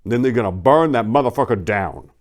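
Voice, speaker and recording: A man's voice: medium pitch, lively intonation, a little fast, close to an everyday voice. A man voicing a menacing, foul-mouthed crime boss giving commanding orders in Southern street talk for a dramatic reading.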